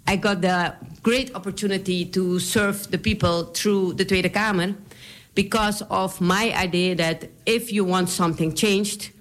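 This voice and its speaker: Surinamese-accented woman guest, chatty, laughs a lot, mid pitch. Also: steady tone, a little slow. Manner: chatty, laughs often, emphatic. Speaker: politician guest. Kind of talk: interview storytelling.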